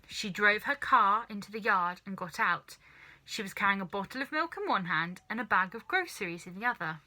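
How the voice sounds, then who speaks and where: A woman narrates a children's book expressively. Her voice is bright and crisp at a middle pitch, with very lively intonation. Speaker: storybook reader.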